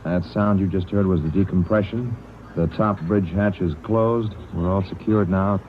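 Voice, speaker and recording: A man's voice: low voice, fairly even intonation, moderate pace. A man giving an on-location radio report from a submarine control room. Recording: muffled, very noisy field radio recording.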